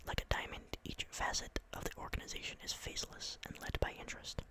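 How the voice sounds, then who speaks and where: A young man whispers right up against the microphone, breathy and unvoiced throughout. He sounds tired but gets excitable, drifting into rambling asides and apologies between stretches of reading. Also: fast. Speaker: ASMR creator. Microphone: close microphone.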